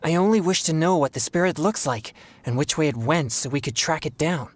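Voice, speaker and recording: An actor playing an earnest, polite young exorcist in a video game, speaking in a formal, measured, self-controlled way. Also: male voice, fairly high pitch, lively intonation. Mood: anxious, apologetic.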